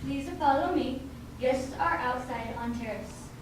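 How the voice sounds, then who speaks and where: A woman's voice: sits high, unhurried. Formal house servant, played by a woman, very echoey. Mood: formal, welcoming. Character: formal, polite house servant greeting party guests. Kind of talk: amateur radio play acting. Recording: very echoey room, distant mic, noisy.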